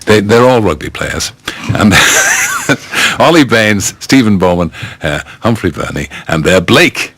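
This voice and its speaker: An older man hosting a radio show, chatting in a teasing, rambling way with a husky voice. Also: on the low side, sing-song, slow pace, pushed and bright. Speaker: radio host. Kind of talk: casual radio chat. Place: radio studio.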